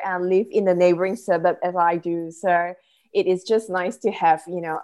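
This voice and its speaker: A woman's voice: on the low side, dark tone. A woman hosting a business podcast, speaking in an enthusiastic, effusive manner as she presents and chats. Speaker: podcast host, business coach. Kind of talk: podcast hosting, introducing a guest.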